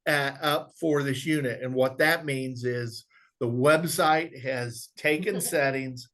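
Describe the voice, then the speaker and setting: A man presenting an instructional software walkthrough, explaining step by step in a conversational way. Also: medium pitch, slow pace. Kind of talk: instructional screen walkthrough, informal webinar.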